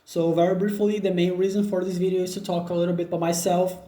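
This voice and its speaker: Man, 20s, Brazilian Portuguese accent, mellow, hesitant fillers, echoey laptop recording. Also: sits high, level tone. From northeast Brazil. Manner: unhurried, hesitant with frequent fillers and restarts. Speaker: job seeker. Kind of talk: self-introduction video.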